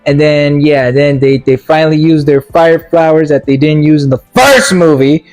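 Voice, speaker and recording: A man giving a casual, opinionated movie review as a video commentator, in a halting, repetitive, slangy way. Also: on the high side, a little mellow, faint music behind.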